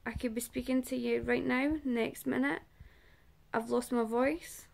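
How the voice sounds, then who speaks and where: A Scottish woman speaks slowly and haltingly, often breaking off mid-phrase to find the next word. Her voice sits fairly high, with a gravelly, creaky edge, and she addresses the viewer in a personal to-camera talk. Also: fairly even intonation, a little mellow. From Scotland. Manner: halting, searching for words with long pauses. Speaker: awareness vlogger and support group organiser.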